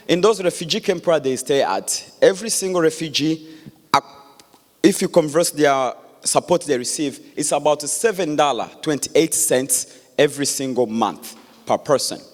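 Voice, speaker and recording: A man, giving an earnest public speech with many pauses and restarts. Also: a little high, lively intonation. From Democratic Republic of Congo. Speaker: guest speaker. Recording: echoey hall.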